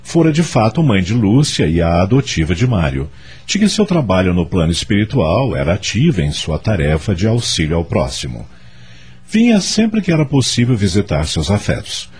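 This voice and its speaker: A man's voice: on the low side, wildly swooping pitch, normal speed, a little mellow, husky, exaggerated voice. A man with a Brazilian accent narrating a radio drama in solemn storytelling style.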